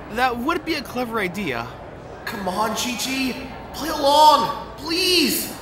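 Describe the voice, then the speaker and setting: Man playing a cheeky young professor, very animated. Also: very high-pitched, slow pace, background noise, heavily acted. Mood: playful, bantering. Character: cheeky, good-natured young male high school professor. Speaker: voice actor. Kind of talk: audio drama acting with first-person narration.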